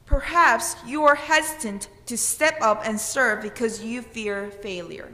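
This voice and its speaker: Woman pastor, Korean accent, slow earnest sermon, bright voice, echoey sanctuary with long reverb. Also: fairly high pitch. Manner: earnest, with rising emphatic questions. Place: church sanctuary at a pulpit. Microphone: pulpit microphone. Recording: roomy church with long reverb.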